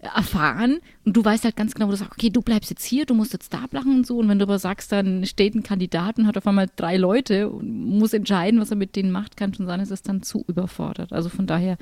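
A woman's voice: mid-range voice, fairly even intonation, fast, hardly any pauses, darkish tone, no echo. A woman in a casual group discussion, rephrasing hesitantly as she explains her opinion. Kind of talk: casual chat.

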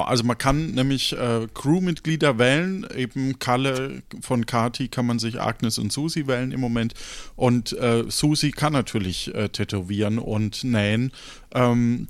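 A man with a medium-pitched voice speaks as a host in casual conversation. He explains things informally, and the recording is very dry. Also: normal speed, dry recording. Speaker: podcast host. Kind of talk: casual chat, explaining game rules and relaying comments.

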